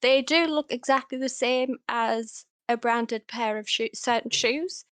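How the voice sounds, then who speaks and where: A woman player chatting casually about her character in a bubbly way. Her voice sits a bit high. The sound is dry and close. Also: unhurried, no room sound. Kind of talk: casual chat.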